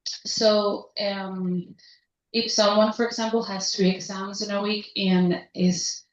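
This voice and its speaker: A young woman from Spain, a student presenting at a conference who pauses and corrects herself. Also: medium pitch, level tone. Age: young adult. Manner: halting, stops to correct herself. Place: conference hall. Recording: echoey hall, distant muffled mic.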